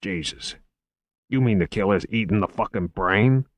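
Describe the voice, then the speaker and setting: A man's voice: fairly low pitch, normal speed, no echo, lightly acted. A man voicing an irritable, profane New York police lieutenant in an audiobook, blunt and exasperated. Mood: incredulous. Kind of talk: audiobook character acting.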